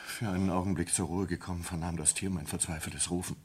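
An actor playing a distraught sailor in a German radio play, confessing in a halting, intense manner with a husky voice. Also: male voice, deep voice, steady tone. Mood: horrified, subdued. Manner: halting, intense, swinging from hushed to agitated. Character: distraught sailor confessing a horrifying ape killing. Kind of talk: German radio play acting, dramatic monologue.

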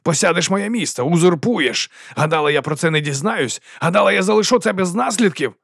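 A man's voice: on the high side, quick pace, a bit bright, no echo, studio quality, pitched above the performer's own voice, lightly acted. A man voicing a betrayed, imperious older grand duke in an audiobook, speaking with emphatic, commanding accusation. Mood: accusing, wounded.

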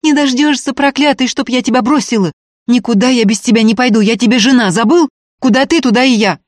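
A narrator playing a fierce, defiant young peasant woman with shrill, folksy scolding. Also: normal speed, darkish tone, no room sound, studio quality, close to an everyday voice. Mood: furious, defiant.

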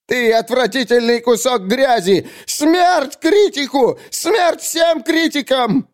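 A man's voice: extremely high pitch, takes it slowly, pitched above the performer's own voice. A man voicing a tortured, self-pitying poet in an audiobook, wailing and complaining with bitter, melodramatic indignation. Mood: shrill indignation. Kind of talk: audiobook character acting.

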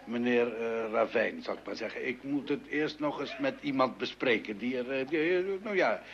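Dull noisy old radio recording, grumbling middle-aged father, creaky voice, hesitant, indignant. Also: medium pitch, lively intonation, moderate pace. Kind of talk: mid-century Dutch radio comedy acting.

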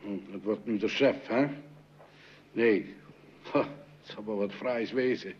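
A man's voice: lowish voice, measured pace, close to an everyday voice. An actor playing a grumpy, blustering middle-aged father in a Dutch radio play. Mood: exasperated. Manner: blustering, exclamatory. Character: grumpy, theatrical father of the household, easily exasperated. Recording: muffled, noisy old radio recording.

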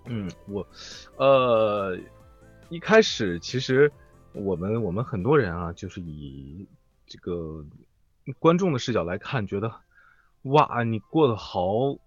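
A man's voice: fairly low pitch, lively intonation, measured pace, no room sound, dull audio, faint music behind. A man speaking as a voice actor guest in an interview, reflective and hesitant as he searches for words. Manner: hesitant, thoughtful phrasing.

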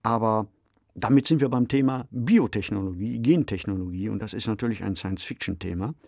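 A man's voice: fairly low pitch, fairly quick, creaky, darkish tone, no echo, studio quality. A man, co-hosting a podcast in a reflective, articulate conversational style. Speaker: podcast co-host. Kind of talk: conversational discussion of radio drama.

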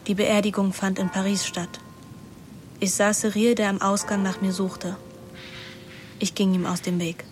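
An actress playing a melancholy teenage daughter, narrating a radio play in a measured, introspective way. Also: female voice, mid-range voice, flat delivery, close to an everyday voice. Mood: detached, melancholy.

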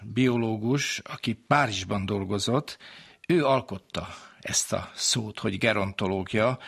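Older male expert, somewhat low husky voice, didactic, unscripted explanation for an interview. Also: fairly bright tone. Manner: didactic, explains terms step by step. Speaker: expert guest, gerontology specialist.

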